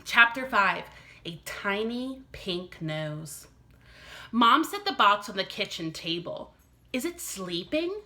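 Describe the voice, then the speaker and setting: A woman reads a children's story like a teacher to young pupils. Her voice is bright and medium-pitched, and her phrasing is careful and expressive. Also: takes it slowly. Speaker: elementary teacher reading aloud. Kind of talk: expressive scripted storybook narration.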